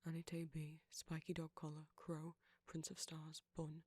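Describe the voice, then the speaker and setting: Guy reading out his channel outro, soft husky breathy voice, fairly even pitch. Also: a little slow, no echo. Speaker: audio creator. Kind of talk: scripted outro, reading supporter names.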